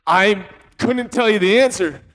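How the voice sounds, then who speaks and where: A man's voice: high-pitched, measured pace, fairly bright tone, a little hiss or hum. A man in his twenties co-hosting a podcast, telling a story in a laid-back, joking way. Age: 20s. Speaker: podcast co-host. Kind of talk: casual chat.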